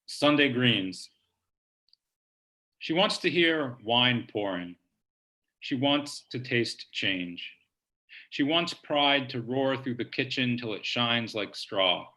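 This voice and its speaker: A man hosting a virtual poetry reading from home, talking in a relaxed, halting way with many ums, and reading a poem aloud. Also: medium pitch. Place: home, video call. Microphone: laptop mic on video call.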